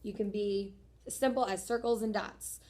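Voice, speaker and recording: Hoarse woman teacher explaining homework. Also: medium pitch, steady tone, moderate pace, darkish tone. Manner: friendly, encouraging, talks through each step. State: losing her voice, hoarse. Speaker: third grade teacher. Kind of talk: homework explanation video for students.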